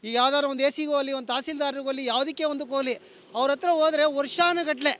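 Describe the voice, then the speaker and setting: A man pours out everyday complaints. His voice is extremely high and sharp, and he talks quickly. He is insistent, hammering words by repeating them. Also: fairly even intonation, dry recording. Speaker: member of the public airing grievances. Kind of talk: colloquial complaint.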